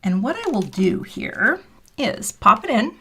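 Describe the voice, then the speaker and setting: A woman in her fifties talks casually while making a card on a livestream. She is chatty and self-deprecating, and she drops into quiet, half-whispered mutters as she works. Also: on the high side, very animated, measured pace, a little hiss or hum. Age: 50s. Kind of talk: casual crafting livestream chat. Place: home craft room.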